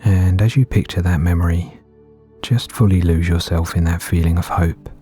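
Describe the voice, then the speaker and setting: Deep, mellow male meditation guide. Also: steady tone. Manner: gentle, soothing, unhurried with long pauses. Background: background music.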